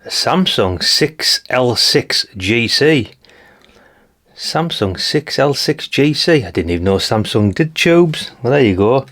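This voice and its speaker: A man's voice: medium pitch, lively intonation, slow pace, fairly bright tone. A British man talking casually and thinking aloud as he gives a hands-on teardown commentary, rambling and informal. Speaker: hobbyist radio channel host.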